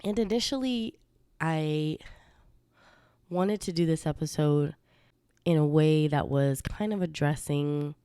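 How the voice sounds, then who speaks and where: A woman's voice: low voice, takes it slowly, long pauses, clean tone, dry recording, studio quality. A woman hosting her own podcast in a chatty, rambling way, sounding congested from a recent illness. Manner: chatty, rambling with fillers and soft laughs. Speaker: podcast host. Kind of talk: casual solo podcast talk.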